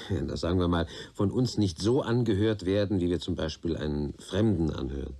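A man's voice: sits low, expressive, normal speed, creaky, warm tone, exaggerated voice. An actor playing a sardonic, world-weary male police detective who probes and questions in a radio play. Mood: probing, wry. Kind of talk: radio drama dialogue.

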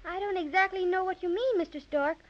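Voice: extremely high pitch, fairly quick. A performer playing a polite, worried little girl, plaintive and earnest, in a 1930s children's radio serial. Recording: dull, band-limited 1930s radio recording.

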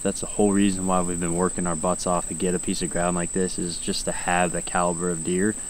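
Young american guy w a deep voice, casual vlog chat outside, bit of bug noise behind. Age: young adult. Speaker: hunting vlog host. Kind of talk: casual vlog talk to camera. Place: outdoors in fields and woods.

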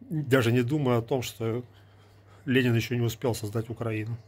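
A man's voice: mid-range voice, steady tone, normal speed, darkish tone. A man in his forties from Ukraine, talking to a phone camera at arm's length in a small indoor room, arguing with a sarcastic, lecturing manner. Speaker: vlogger. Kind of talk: casual talk to camera. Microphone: earbud mic, phone at arm's length.

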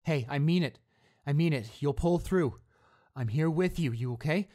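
A man playing a devoted, anxious young boyfriend in an intimate audio roleplay, speaking tenderly and haltingly with repeated reassurances. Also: a little high, dark tone, close to an everyday voice. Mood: anxious, urgent. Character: devoted, anxious young boyfriend comforting his hurt girlfriend. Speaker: voice actor.

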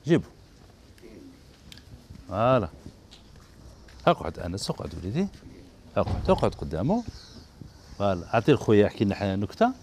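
A gentle, encouraging man with an Algerian Arabic accent hosts a children's TV show from an indoor studio set, holding a handheld mic. His voice moves lively up and down as he coaxes the kids, and a faint hiss sits under it. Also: on the low side, brisk, pauses often. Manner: gentle, encouraging, repeats praise over and over. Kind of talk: coaxing kids on stage.

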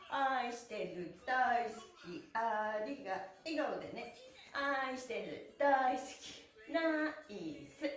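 A cheerful woman instructor with a high, swooping voice guides a floor stretching routine. She encourages in a sing-song, chanting rhythm. The sound is very echoey, as if picked up across a bare room. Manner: speaking while exercising on the floor. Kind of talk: exercise instruction with chanted counts. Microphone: camera a few metres away. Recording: echoey room, distant microphone.

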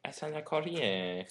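A teenage boy clowning around in a home kitchen, talking into a built-in laptop mic. His voice swoops up and down a lot while his pace stays slow and drawn out, and a faint hiss sits under the recording. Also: a little high. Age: teens. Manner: silly, joking, puts on voices. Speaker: vlogger. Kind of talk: goofy casual webcam chat. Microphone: built-in laptop webcam mic.

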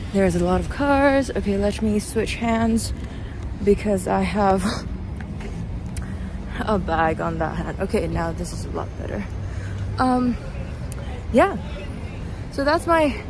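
A young woman chatting casually in a rambling, halting way, recording on a handheld phone as she walks outside. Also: mid-range voice, lively intonation. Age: young adult. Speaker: vlogger. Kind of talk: casual walking vlog chat. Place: outdoors walking on a city street at night. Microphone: handheld phone at arm's length. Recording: noisy city street with passing traffic. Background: traffic and street ambience.

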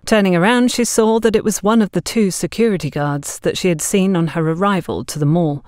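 Low-voiced woman narrating a story, dry sound. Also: brisk, a little mellow, dry recording. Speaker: audiobook narrator.

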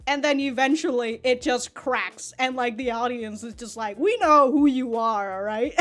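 A woman's voice: a little high, normal speed, fairly bright tone. A young woman hosting an anime reaction video, rambling loosely and swearing often as she laughs between thoughts into a studio mic. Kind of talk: casual reaction commentary. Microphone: large studio mic in front.

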